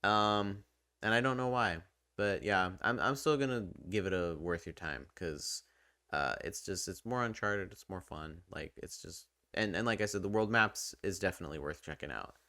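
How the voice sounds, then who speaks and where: A man's voice: low voice, normal speed, bright, forceful tone, dry recording, studio quality. A man giving casual, unscripted video game commentary in an informal, hesitant, self-deprecating way. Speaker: video game reviewer and channel host.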